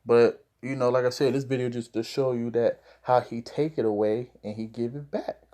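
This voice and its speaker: A man's voice: medium pitch, steady tone, normal speed, dark tone. A man preaching informally to camera, explaining scripture in a conversational way and checking in with "all right?". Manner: conversational, with repeated "all right?" and "you know".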